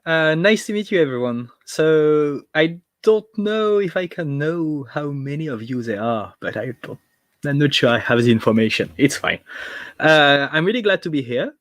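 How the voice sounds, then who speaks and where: A man's voice: on the high side, expressive, moderate pace, fairly bright tone, no room sound. A young man from France with a French accent, giving an online technical presentation with many fillers and restarts. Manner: hesitant, frequent fillers and restarts. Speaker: conference speaker. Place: home room.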